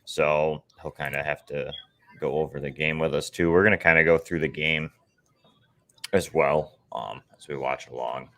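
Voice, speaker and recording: Very deep-voiced man losing his voice. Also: fairly even intonation, normal speed, pushed and bright. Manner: raspy and strained, clearing his throat. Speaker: podcast co-host. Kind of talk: casual sports chat.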